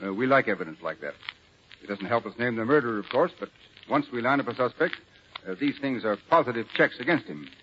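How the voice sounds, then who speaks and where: An actor playing a shrewd, confident law official laying out facts in an assured, matter-of-fact 1940s radio manner. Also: male voice, mid-range voice, lively intonation, fast talker, natural voice. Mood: confident, businesslike. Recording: dull, noisy 1940s radio recording.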